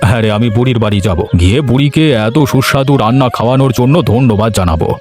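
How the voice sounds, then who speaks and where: Guy doing earnest village uncle in a cartoon, emphatic, medium voice, talks quick. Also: medium pitch, a little mellow, faint music behind, close to an everyday voice. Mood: grateful, pleased. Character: grateful village uncle recovering from illness, protective of the old. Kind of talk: Bengali cartoon dialogue.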